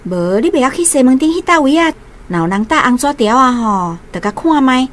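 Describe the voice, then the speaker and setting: A woman's voice: high voice, animated, fairly quick. An actress playing a kindly, fussing aunt in a radio drama, with a Taiwanese accent and a motherly, exclamatory manner. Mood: advising. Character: kindly, fussing young aunt housing her niece.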